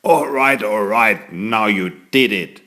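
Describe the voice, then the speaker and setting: A man's voice: fairly low pitch, takes it slowly, sharp tone. A man voicing a grumpy dragon door knocker. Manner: complaining, moaning.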